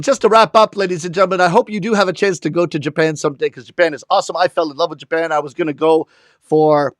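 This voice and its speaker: A man who is a comedic storyteller, talking to the camera in an animated way and chuckling at his own jokes. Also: high-pitched, dry recording, studio quality. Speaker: rakugo storyteller.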